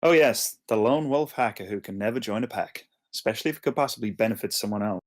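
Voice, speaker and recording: A man's voice: on the low side, quick pace, lightly acted. A man playing an easygoing young best friend, wry and bantering, in a screenplay table read. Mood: wry exasperation.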